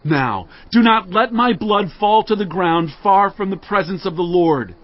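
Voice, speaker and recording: An actor playing a bold, earnest, righteous warrior leader in a dramatized audio Bible, with emphatic, declamatory delivery. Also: male voice, high voice, expressive, unhurried, lo-fi sound. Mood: impassioned pleading.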